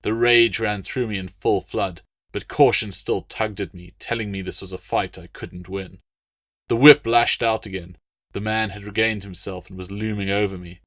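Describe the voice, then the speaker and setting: A man reading scripted first-person novel narration as an audiobook, in a measured, dramatic storytelling manner. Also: on the low side, steady tone, a bit bright, dry recording.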